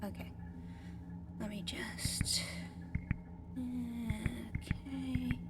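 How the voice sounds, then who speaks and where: A woman voicing a gentle giant alien in an ASMR roleplay, speaking softly and often whispering, in a hesitant, halting, explaining way. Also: fairly high pitch, steady tone, very noisy. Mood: gentle, apologetic. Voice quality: soft, breathy, often whispered. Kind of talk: ASMR roleplay addressed to the listener.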